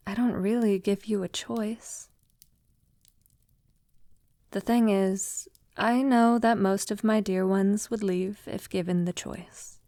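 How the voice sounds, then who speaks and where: A woman playing a gentle, conscience-troubled vampire lover in an intimate ASMR audio roleplay, speaking softly, tenderly and hesitantly. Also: mid-range voice, no echo, clean studio sound. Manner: soft, tender, hesitant, often dropping to a whisper. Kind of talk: ASMR girlfriend roleplay, soft intimate acting.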